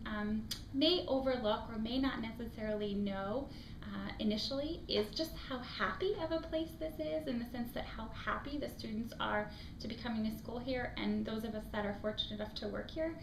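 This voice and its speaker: A woman from Afghanistan with an American accent speaks as a school admissions director in an interview. She talks in an earnest, reflective way, often pausing on an um, her voice sitting a little high. She is recorded in an echoey room with clear background noise. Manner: earnest, reflective, frequent ums. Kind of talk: interview answers to off-camera questions.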